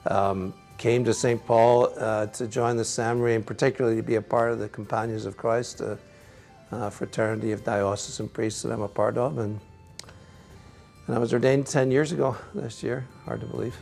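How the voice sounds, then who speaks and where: A middle-aged Scottish man, a priest, answering interview questions in a gentle, reflective way with frequent soft laughs. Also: medium pitch, flat delivery, moderate pace, creaky, faint music behind. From Scotland.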